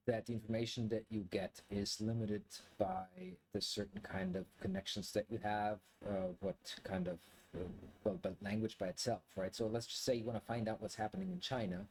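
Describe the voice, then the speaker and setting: Low-voiced German man thinking aloud. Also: big pitch swings, darkish tone, low-quality recording. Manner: rambling, halting, thinking aloud. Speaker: video creator, commentator. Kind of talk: casual reflective monologue to viewers.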